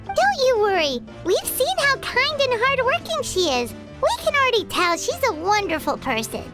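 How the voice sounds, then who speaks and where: A woman voicing a tiny, excitable, loyal fairy companion in a video game, with a childlike squeaky voice. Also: moderate pace. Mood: warm encouragement. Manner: refers to self in third person, exclamatory cheering. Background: game music.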